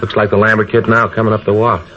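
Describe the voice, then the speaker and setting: Actor as low-voiced detective sidekick, old radio. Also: male voice, flat delivery, quick pace, a bit bright, faint music behind, close to an everyday voice. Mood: watchful, anxious. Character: low-key male police detective partner on stakeout. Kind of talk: 1950s radio drama acting. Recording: dull vintage radio recording.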